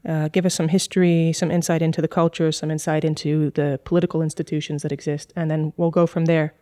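A woman's voice: sits low, flat delivery, fast talker, dark tone, no room sound, clean studio sound. A woman hosting a podcast, with a polished, articulate delivery. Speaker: podcast host. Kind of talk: scripted podcast intro and moderating.

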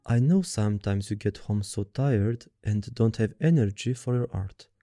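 A man with a Romanian accent hosts a podcast, reading his own script aloud with careful, measured delivery. His voice is very warm and mellow, set fairly low. The recording is dry and close to the mic. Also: no room sound, soft background music. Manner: reads a prepared script in an even, deliberate way. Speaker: podcast host.

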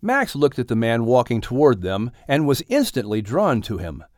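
A man narrating a children's audiobook story in an expressive, scripted storytelling style. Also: mid-range voice, moderate pace, no echo, studio quality.

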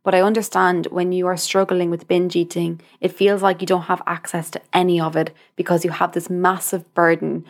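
A young adult woman with an Irish accent hosting a podcast, speaking informally and directly to the listener in a conversational monologue. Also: lowish voice. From Ireland. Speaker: podcast host, dietitian.

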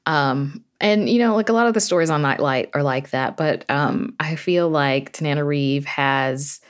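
A woman's voice: low voice, normal speed, smooth. A woman chatting informally as an interview guest, telling anecdotes in a conversational way with quoted asides. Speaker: podcast guest, horror fiction writer and audio drama creator.

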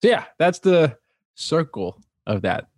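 A man from Nigeria, raised across several countries, speaking as an interview guest in casual, personal storytelling with an animated manner. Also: a little high, a little slow, dry recording, clean studio sound. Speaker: interview guest, cultural translator and consultant.

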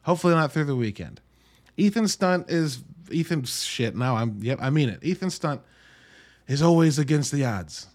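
A man hosting a podcast, giving an informal, wry solo review in an emphatic, halting way with drawn-out words. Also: fairly high pitch, animated, a little mellow. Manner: informal, wry, mildly profane. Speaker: podcast host reviewing films. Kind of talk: casual solo review monologue.

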